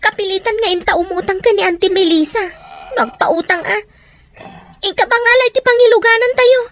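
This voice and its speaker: Woman acting a very high-voiced burdened eldest daughter, Filipino. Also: a little fast, a bit bright, no echo. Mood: distressed. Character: burdened, reproachful eldest daughter caring for siblings. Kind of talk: Filipino radio drama acting.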